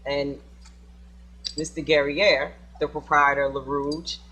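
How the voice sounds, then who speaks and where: A man's voice: fairly high pitch, level tone, measured pace, sharp tone, slightly rough, low-quality recording. A man hosting a studio panel discussion in front of an audience, asking probing questions in an informal way and repeating guests' answers back to them. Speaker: talk show host.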